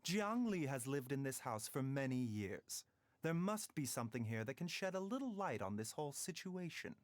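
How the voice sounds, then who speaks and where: A man voicing a gentle, enigmatic doctor in a video game, soft-spoken and smooth with a teasing edge. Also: medium pitch, very animated, moderate pace, no room sound, lightly acted. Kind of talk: game voice acting.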